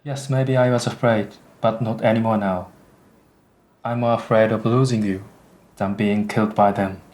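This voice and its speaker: A man's voice: on the low side, fairly even intonation, normal speed, a little mellow, lightly acted. Devoted soldier in love, acted by a man. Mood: devoted, resolute. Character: devoted aging soldier in love, tender, resolute. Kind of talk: radio drama acting.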